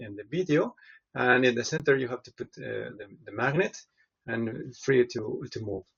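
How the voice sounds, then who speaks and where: Man, creaky voice, hesitant professor explaining steps, dull lo-fi recording. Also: medium pitch. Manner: halting, hesitant delivery with frequent pauses. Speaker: professor, tutorial presenter. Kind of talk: instructional step-by-step demonstration.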